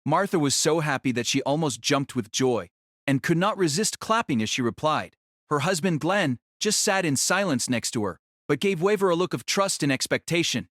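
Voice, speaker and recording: A man's voice: fairly high pitch, expressive, moderate pace, a bit bright, no room sound, clean studio sound. A man narrating a scripted audio drama as a storyteller.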